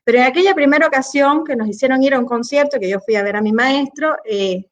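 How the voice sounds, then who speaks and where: A woman from Cuba with a Cuban Spanish accent, a conductor interviewed over a video call, talking thoughtfully with frequent pauses. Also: sits high, highs cut off. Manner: warm, thoughtful, pauses often with eh. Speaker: orchestra conductor, guest.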